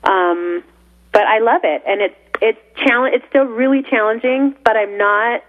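A woman's voice: on the high side, normal speed. A woman co-hosting a radio talk show, chatty and often laughing as she trails through her sentences. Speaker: radio co-host. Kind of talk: casual studio chat. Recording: narrow phone-like radio sound.

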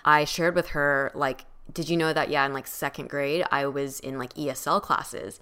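A woman talking softly and reflectively in casual podcast chat, using lots of filler words. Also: low voice, steady tone, normal speed, clean tone. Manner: reflective, soft-spoken, many "like" fillers. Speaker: podcast co-host.

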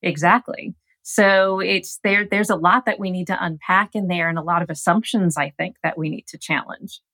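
A woman speaking as an expert guest on a radio interview, explaining ideas in an articulate, measured way. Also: on the low side, steady tone, a bit bright, dry recording. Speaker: gerontologist guest.

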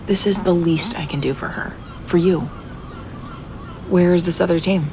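Female actor as blunt expert diver: lowish gravelly voice, firm, probing, naturalistic drama over light ambience. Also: normal speed, noisy background, natural voice. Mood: resolved. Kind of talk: naturalistic audio drama acting.

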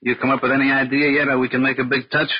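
A man's voice: mid-range voice, level tone, very fast, close to an everyday voice. Man acting a slangy small-time American crook, vintage radio. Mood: scheming. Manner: slangy tough-guy talk. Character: slangy, lazy, scheming small-time male crook turned kidnapper. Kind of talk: 1940s American radio crime drama acting. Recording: dull vintage radio, music and crowd behind.

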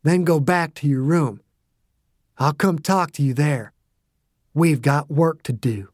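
A man's voice: fairly high pitch, slow, darkish tone, no room sound, clean studio sound. A man voicing a brutal, menacing man who threatens and snarls at a younger man. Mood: cold.

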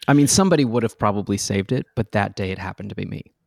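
A man with a low voice and a gravelly edge tells a backstage story in a relaxed interview. He rambles and restarts as he goes, and laughs at himself. The sound is close and dry. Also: quick pace, no room sound. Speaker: guest actor.

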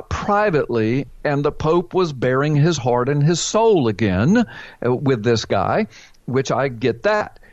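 Guy on a radio interview, earnest and lively, keeps repeating himself, dull dry line. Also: fairly high pitch, dry recording. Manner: animated, repeats words, folksy asides. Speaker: pastor and author guest.

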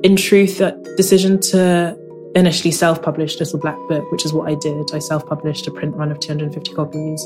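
A young woman, born in Nigeria, talking reflectively in an interview and leaning on fillers like "kind of". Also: low-pitched. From Nigeria, raised in South London. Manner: relaxed, many fillers like "kind of". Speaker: interviewee, writer.

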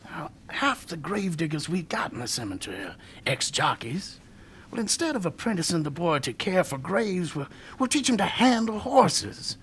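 An actor playing a sly older grave digger and former jockey in a radio drama, coaxing with hesitant fillers and chuckles. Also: male voice, fairly high pitch, sing-song, moderate pace, a bit bright, a little hiss or hum. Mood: scheming.

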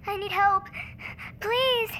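A woman's voice: extremely high pitch, fairly even intonation, slow pace, noisy background. A woman playing a frightened little girl who pleads earnestly in an audio drama. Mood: scared, pleading.

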